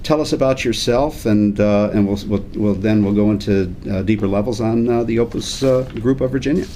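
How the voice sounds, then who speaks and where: Genial male host, low mellow voice, talky with many restarts. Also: brisk, a little hiss or hum. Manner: chatty, repeats and restarts words. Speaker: interview host. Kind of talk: casual interview.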